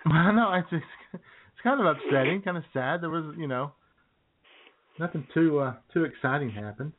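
A man's voice: on the high side, expressive, normal speed, long pauses, slight background noise. Chatty man on a tinny phone line. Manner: chatty, rambling storyteller with chuckles. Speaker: radio show co-host. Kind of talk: casual banter.

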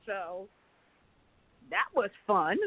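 A woman's voice: mid-range voice, drawn-out words, no room sound. Woman guest on a tinny phone line. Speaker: interview guest, company founder. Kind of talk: casual conversational storytelling.

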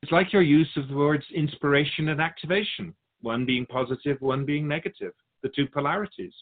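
A man playing a patient, lofty spirit guide who teaches in measured, formal phrases in a scripted audio drama. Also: fairly high pitch, narrow, tinny audio, close to an everyday voice. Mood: measured, explanatory. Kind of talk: scripted dialogue in a fiction podcast.

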